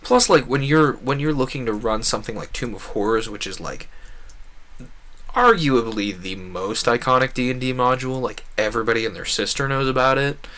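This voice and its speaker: Bright-voiced man rambling while gaming. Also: medium pitch, moderate pace. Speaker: game streamer. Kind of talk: casual chat while playing a game.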